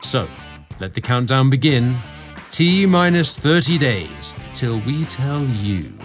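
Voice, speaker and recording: A man's voice: mid-range voice, big pitch swings, slow, music in the background. A man narrating a scripted romantic story as host, with a theatrical, sensual delivery.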